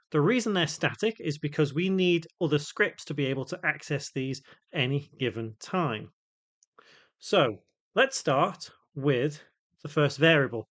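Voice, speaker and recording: Man narrating a tutorial, slightly high voice. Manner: explanatory, spells out code piece by piece. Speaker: tutorial host. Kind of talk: step-by-step software tutorial narration.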